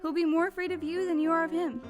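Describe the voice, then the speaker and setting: A woman's voice: very high voice, little pitch movement, quick pace, over music. A woman playing a polite, plucky young farm girl in an audio play. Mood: polite, earnest.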